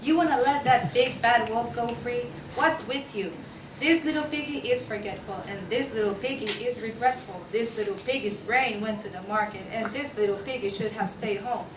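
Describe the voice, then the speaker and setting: Young woman as hot-tempered juror pig, higher-pitched, indignant, argumentative, stage comedy read, noisy room. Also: normal speed, lightly acted. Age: young adult. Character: stubborn, hot-tempered juror pig, sure of the wolf's guilt. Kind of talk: student table read of a comic courtroom play. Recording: distant, echoey room with clear background noise.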